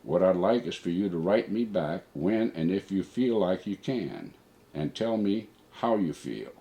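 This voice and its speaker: A man's voice: sits low, animated, unhurried, mellow. An older man, a father, reading a personal letter aloud and reflecting on it.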